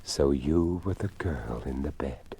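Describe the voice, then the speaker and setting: A man's voice: very deep voice, animated, measured pace, dark tone, slight background noise, voice pushed down, exaggerated voice. A man voicing a troubled, reluctant husband in a ghost story, speaking uneasily. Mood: trembling dread. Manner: unenthusiastic, uneasy.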